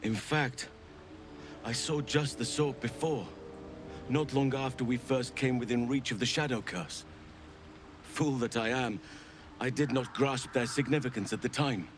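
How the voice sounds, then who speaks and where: An actor playing an earnest, burly druid elf in a game, with grave, measured, formal delivery. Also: male voice, mid-range voice, slight background noise, close to an everyday voice. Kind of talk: dramatic game cutscene acting.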